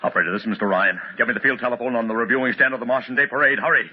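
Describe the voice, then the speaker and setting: A man's voice: mid-range voice, fairly even intonation, very fast, natural voice. An actor playing an urgent, frantic businessman who barks brusque commands, in a 1950s radio drama style. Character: urgent, frantic businessman trying to stop an invasion. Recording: noisy, muffled old radio recording.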